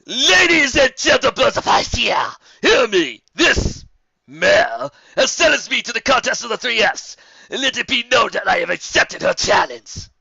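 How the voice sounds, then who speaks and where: Lady playing a pompous bully griffin commander in a story, booming n forceful, big swoops in pitch. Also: very animated, measured pace, creaky, husky, no room sound, muffled recording, heavily acted. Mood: booming, smug. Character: boastful bullying male griffin commander, full-grown.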